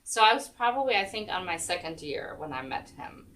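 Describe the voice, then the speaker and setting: Low-voiced woman telling stories. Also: sing-song, a little fast, a bit bright, recorded from a distance. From Germany. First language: German. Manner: chatty, rambling, many ums and restarts. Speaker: guest, chair yoga instructor. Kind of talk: casual storytelling interview. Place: senior center room.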